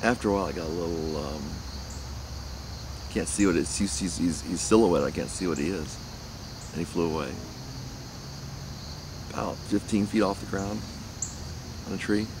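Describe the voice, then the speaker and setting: A man rambling casually outdoors about faith, speaking haltingly with many restarts. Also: low voice, background noise. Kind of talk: casual spiritual musing. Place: outdoors near trees.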